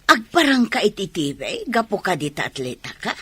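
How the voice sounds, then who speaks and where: A woman playing a simple, middle-aged, emotional and proud mother with a husky voice in an Ilocano radio drama. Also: mid-range voice, wildly swooping pitch, moderate pace. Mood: proud, happy.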